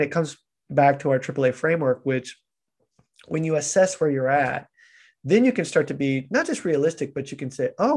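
A man's voice: mid-range voice, a little mellow. A man, a fitness coach and podcast guest, explaining his training approach in an earnest, coaching way, now and then acting out what a trainee tells himself. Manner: earnest, coaching, acts out inner dialogue. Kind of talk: casual explanatory chat.

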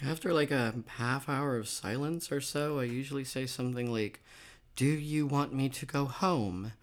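A woman acting an evasive, spacey rock star in a comedic radio play, speaking in a halting, vague deadpan. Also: a bass voice. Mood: defensive, vague. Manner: halting, vague, filler-heavy hedging.

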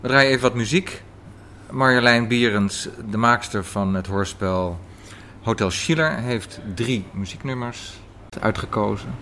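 A man's voice: medium pitch, unhurried, pushed and bright, slight background noise. A man hosting a conversational interview, recorded in a café. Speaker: interviewer, host.

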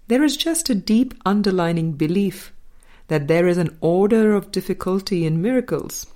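A woman reading a scripted spiritual dialogue aloud in a measured, deliberate way, pausing between short phrases. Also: fairly low pitch, mellow. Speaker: audiobook reader.

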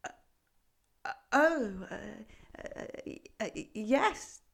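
A woman's voice: fairly high pitch, lively intonation, drawn-out words, creaky, slightly rough, exaggerated voice. A woman voicing a timid, lonely frog that stammers, in a read-aloud storybook. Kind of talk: storybook reading.

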